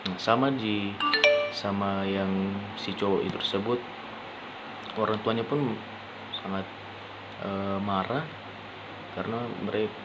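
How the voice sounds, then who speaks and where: A young man speaks in a slightly low voice at a very slow pace. He presents informally like a student, often halting to rephrase. The recording is lo-fi and dull, with clear noise behind him. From Indonesia. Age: young adult. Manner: halting, with frequent pauses and rephrasing. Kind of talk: informal spoken presentation for a class assignment.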